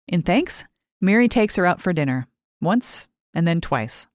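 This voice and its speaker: Low-pitched woman reading fiction, dry sound. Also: very animated, measured pace, no echo. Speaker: short fiction reader. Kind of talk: expressive scripted story narration.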